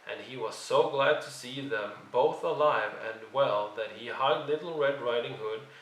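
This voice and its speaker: Bright-voiced male storyteller, echoey room. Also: mid-range voice, measured pace, slight background noise. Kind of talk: expressive read-along children's story narration. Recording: roomy, distant microphone.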